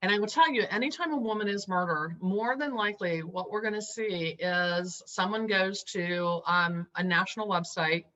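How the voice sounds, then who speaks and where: A woman hosting a webinar, briskly explaining poll results to attendees. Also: mid-range voice, bright, forceful tone, low-quality recording. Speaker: webinar host. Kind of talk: webinar moderation.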